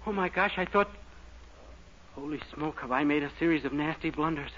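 A young man starring in a radio comedy, with boyish, goofy, excitable delivery. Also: a little high, fairly quick, breathy edge. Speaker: star of a radio sitcom. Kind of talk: 1940s radio comedy acting. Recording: dull old radio recording with hiss.

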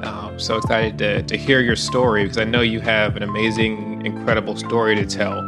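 A man's voice: on the low side, little pitch movement, a little fast, loud music behind the voice. A man hosting a podcast interview, welcoming a guest in a friendly way with a few restarts. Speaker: podcast host.

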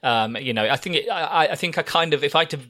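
A man's voice: a little high, animated, very fast, pushed and bright, dry recording. A man hosting a podcast, recapping a TV series in a rambling way with many ums and dry humour. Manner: rambling, lots of fillers and restarts, dry humour. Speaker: podcast host.

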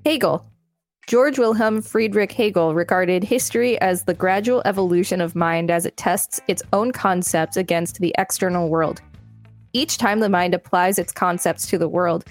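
A woman co-hosts a reading podcast in a somewhat low voice, steady through the long passages. She breaks in now and then with dry, wry remarks. Faint music plays under her. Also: moderate pace. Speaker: podcast co-host. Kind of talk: reading an article aloud with banter.

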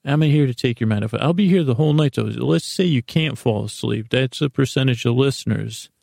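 A man's voice: medium pitch, creaky, a little mellow, no room sound, clean studio sound. A man hosting a bedtime podcast in a soothing, lulling way, meandering and stumbling over his words as he goes. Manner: meandering, halting, word-fumbling. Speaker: podcast host. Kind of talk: rambling bedtime podcast monologue.

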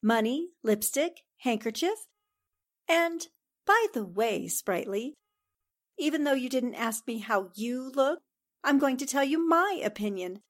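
An actress plays a young newspaperwoman in an old-time radio drama. Her voice is high and melodic, full of swings, and she banters in a poised, breezy, good-humored way. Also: animated, unhurried. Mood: teasing. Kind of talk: 1940s radio drama acting.

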